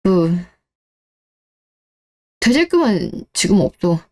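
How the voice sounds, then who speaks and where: Radio drama role: evasive, desperate husband played by a woman, self-justifying and pleading, with a muted, mellow timbre. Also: mid-range voice, sing-song, slow pace. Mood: evasive, ashamed. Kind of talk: radio-drama story dialogue.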